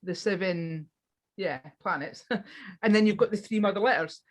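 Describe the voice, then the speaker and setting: Chatty woman guest, eager and halting. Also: medium pitch. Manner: enthusiastic, restarts often, hesitant fillers. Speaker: guest on spiritual practice. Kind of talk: casual interview talk.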